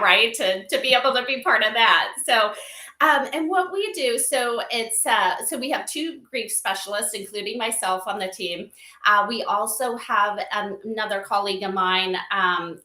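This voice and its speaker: A woman's voice: fairly high pitch, sharp tone. A woman speaking as an interview guest, explaining her company's work in a conversational way. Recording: echoey room sound.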